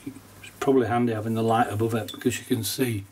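A middle-aged man with a cold, sounding nasal, casually talking through a watercolour painting demonstration at his desk, relaxed and chatty with small laughs. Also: lowish voice, fast talker, recorded from a distance, slight background noise. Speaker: watercolour teacher. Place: painting desk at home, indoors.

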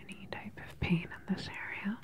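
A woman in her thirties performing an ASMR medical roleplay as a calm, attentive doctor, asking questions in a soft, unhurried, clinical way close to the microphone. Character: calm, attentive government research doctor examining a patient.

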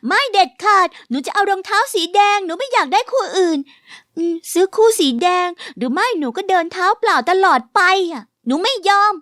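A woman's voice: very high voice, animated, a little fast, fairly bright tone, dry recording, studio quality. A woman voicing a stubborn, spoiled young girl in a dubbed cartoon, with an insistent, demanding manner. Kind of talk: cartoon dubbing.

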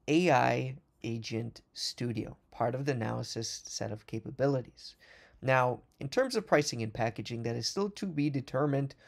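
A man presenting a product demo as a voice-over on a screen recording, explaining in a fluent, fast corporate manner with occasional restarts. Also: mid-range voice, creaky.

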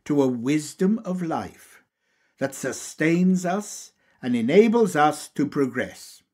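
Male audiobook reader for a lecture text: unhurried, measured delivery, slightly high pitch, animated intonation. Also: husky. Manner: deliberate, measured, emphatic phrasing. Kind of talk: scripted lecture reading.

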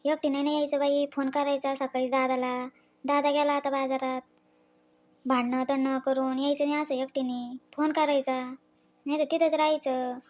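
Woman voicing a high-pitched, fussing village mother. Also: flat delivery, moderate pace, a little mellow, natural voice. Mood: scolding concern. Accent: rural Maharashtrian. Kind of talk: acted family story cartoon. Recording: faint background noise.

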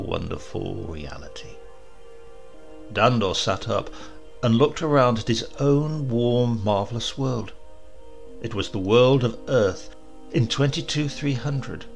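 A man reading a science fiction horror tale aloud in a measured storytelling manner. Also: mid-range voice. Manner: slow, measured storytelling with gravelly tone. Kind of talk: audiobook narration.

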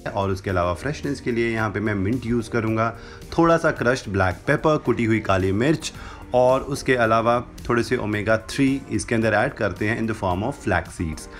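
A man's voice: on the low side, normal speed, faint music behind. A young man hosting a cooking channel, explaining a recipe step by step and slipping English phrases into his talk. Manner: instructive, mixes English phrases into Hindi. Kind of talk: recipe demonstration voice-over. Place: home kitchen with brick wall.

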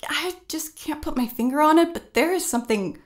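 A spirited female novelist in an audio play. Her voice sits a little high and swings widely in pitch. She sounds playful, yet earnest about the things she loves. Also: lively intonation, brisk. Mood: earnest, determined. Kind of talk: audio drama dialogue.

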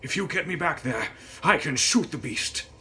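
An injured coachman, acted by a man reading a gothic novel, urgent and deferential. The croaky voice is pushed high and loud with strain. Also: lively intonation, normal speed, slight background noise. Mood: pained, urgent.

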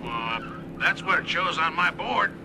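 A man's voice: on the high side, lively intonation, measured pace. An actor playing a harried male utility dispatcher in an audio drama, heard on a noisy call. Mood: pressed. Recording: noisy, quiet radio call.

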